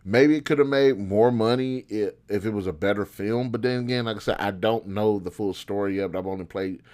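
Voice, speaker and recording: A man's voice: fairly low pitch, fairly quick, a little mellow. A man hosting a show, giving casual, opinionated commentary in slangy, informal speech as he thinks aloud. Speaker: host of a show on video game movies.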